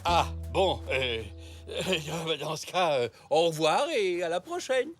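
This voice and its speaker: A man's voice: on the high side, expressive, slow. A jolly old grandfather zebra in a French children's cartoon. His intonation swoops up and down with kindly good humour. Faint music sits behind him. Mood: sympathetic.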